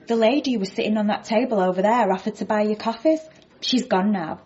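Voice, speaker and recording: An actress playing a blunt but kindly cafe waitress in a radio comedy drama, deadpan and matter-of-fact. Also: female voice, medium pitch, a little fast, breathy edge, dull audio, lightly acted.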